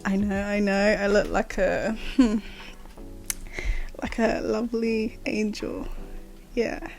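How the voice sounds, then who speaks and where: Slow, gravelly woman chatting solo. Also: mid-range voice, fairly even intonation, a little mellow, soft background music. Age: 20s. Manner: chatty, rambling, with laughs and fillers. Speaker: podcast host. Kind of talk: casual solo podcast chat.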